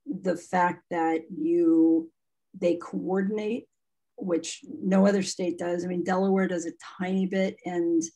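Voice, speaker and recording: A woman talks in an easy, opinionated way over a video call. Her voice is mellow and slightly husky. She sounds at ease, like someone used to explaining things. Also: on the low side, little pitch movement, a little slow, rough recording. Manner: chatty, frank, opinionated. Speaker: guest speaker on immersion schooling. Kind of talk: casual video-call talk.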